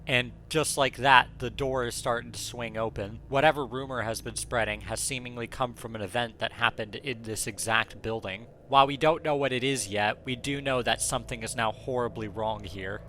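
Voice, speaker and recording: A man's voice: medium pitch, moderate pace, hardly any pauses, sharp tone, a little hiss or hum. A man giving scripted, suspenseful narration as a video essayist. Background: background music.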